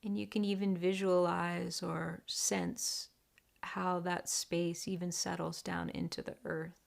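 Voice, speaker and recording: A woman leading a guided meditation in a deliberate, gentle, hushed manner with long pauses. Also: on the low side, little pitch movement, vocal fry. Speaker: meditation teacher.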